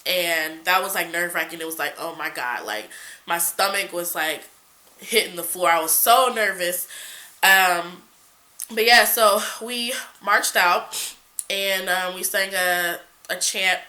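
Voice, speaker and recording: Young woman vlogger, stuffy cold voice, bright tone, rambling casual storytelling with fillers. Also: lowish voice, unhurried. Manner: chatty, many fillers and restarts. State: congested from a cold. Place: small indoor room before a folding screen. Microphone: camera in front, seated at mid distance.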